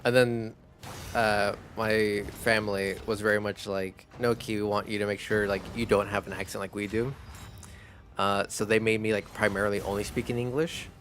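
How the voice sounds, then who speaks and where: Rambling man streamer, low voice. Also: moderate pace, a little hiss or hum. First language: Spanish. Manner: rambling, relaxed, laughs easily. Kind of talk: casual chat while gaming.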